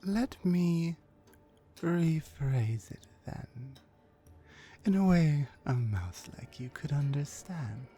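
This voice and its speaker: A dominant orc warrior woman, acted by a woman for an ASMR roleplay, speaks very slowly and deliberately. Her pitch swings widely as she mocks and threatens, sometimes sinking into a whisper. Music plays behind her. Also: lively intonation, mellow, heavily acted. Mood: menacing. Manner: menacing, mocking, slow and deliberate, often dropping to breathy whispers. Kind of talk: ASMR roleplay, slow dramatic monologue.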